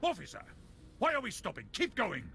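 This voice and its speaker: An actor playing a smug, menacing, corrupt police commissioner in a game audio drama, gravelly and condescending. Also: male voice, very high voice, huge pitch swings, fairly quick, noisy background, full character voice. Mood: indignant, alarmed. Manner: oily, condescending, threatening. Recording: loud music under the voice.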